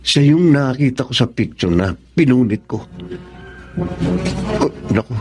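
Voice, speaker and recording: An actor playing a hot-headed, pushy young son in an emphatic Tagalog radio drama style. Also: male voice, mid-range voice, expressive, measured pace, darkish tone, breathy edge, faint music behind. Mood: brooding, suspicious. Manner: emphatic, melodramatic, confrontational.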